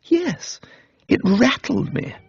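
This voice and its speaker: Guy doing a posh young english toff, cheerful and dim, slangy, animated, radio comedy. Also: very high voice, slow, vocal fry, husky, no echo, muffled recording, voice pushed up, full character voice. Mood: excitable. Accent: upper-class English. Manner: chatty, slangy, blithe. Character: cheerful, dim, excitable young upper-class English gentleman. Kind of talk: comic radio reading.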